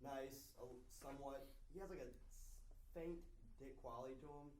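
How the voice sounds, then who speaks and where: A man's voice: medium pitch, a little mellow. A man with a mid-range voice talks casually in a group discussion, feeling his way through each point with stops and restarts. He sounds distant in a reverberant room, with faint noise. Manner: hesitant, halting, full of stops and restarts. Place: indoor room at a group table read.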